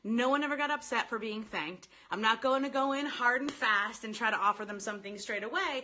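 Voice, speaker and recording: Woman doing live stream sales training, kinda high bright forceful voice, chatty and animated, talks right to viewers. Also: fairly quick. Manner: animated, informal, addresses listeners directly, quotes sample phrases. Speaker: sales coach hosting a live online training. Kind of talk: informal live-stream coaching, chatting with commenters.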